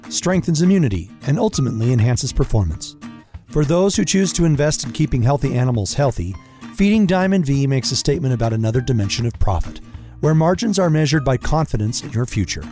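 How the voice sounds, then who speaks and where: A man reading a scripted podcast promo in a smooth, salesy announcer voice. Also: mid-range voice, warm tone. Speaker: show announcer.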